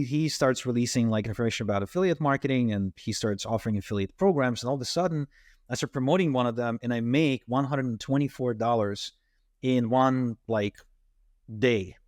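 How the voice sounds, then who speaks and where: A man's voice: mid-range voice, brisk, a little mellow, no room sound. Male podcast guest, native Russian speaker, dry sound. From Ukraine, and Israel from age twelve. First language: Russian and Ukrainian. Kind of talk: conversational interview storytelling.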